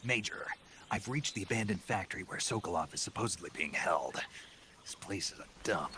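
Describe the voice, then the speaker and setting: An American man playing a gruff, stoic special forces agent in a video game, terse and deadpan with a rough voice. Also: sits low, a little fast, a little hiss or hum. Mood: terse, guarded. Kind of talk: dramatic video game acting.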